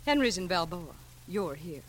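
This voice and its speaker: A woman's voice: on the low side, big pitch swings, normal speed, a little hiss or hum. An actress playing a forward, flirtatious wealthy married woman, coolly direct and teasing, in classic radio drama style.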